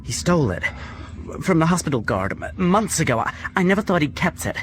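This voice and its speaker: A man playing an anxious, guilt-ridden young prisoner in a radio drama, speaking in a halting, nervous way. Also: a little high, very animated, a little hiss or hum. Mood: shaken, defensive.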